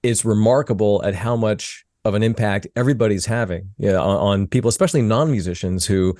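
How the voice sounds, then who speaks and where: A man's voice: on the low side, brisk, darkish tone, no echo, clean studio sound. A man hosting an interview, chatting casually, repeating words and saying "you know" often. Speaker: interview host.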